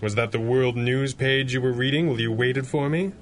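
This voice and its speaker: A man's voice: mid-range voice, steady tone, brisk, lightly acted. A man plays a polished playboy crimefighter in a 1930s-style radio adventure. His voice sits in a middle register, dry and understated, with slight background noise. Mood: dry, composed. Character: suave billionaire playboy masked superhero. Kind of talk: 1930s pulp radio acting.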